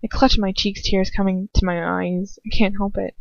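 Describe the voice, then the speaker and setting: A woman reading a story aloud in an expressive amateur narration, with dramatic emotion and casual asides. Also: medium pitch, moderate pace, vocal fry, dry recording. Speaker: fan fiction reader. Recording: dull, lo-fi, dry home recording.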